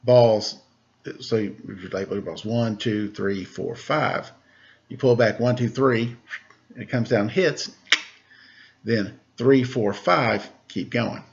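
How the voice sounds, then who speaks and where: A man reading a rhyming picture book aloud, lilting and expressive in the verses, relaxed and chatty in the asides. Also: fairly low pitch, slow, vocal fry, lo-fi sound.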